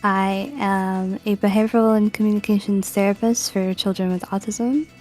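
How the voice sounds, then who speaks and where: A twenty-five-year-old woman, a behavioral therapist, introducing herself casually and slowly. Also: medium pitch, steady tone, a little mellow, faint music behind.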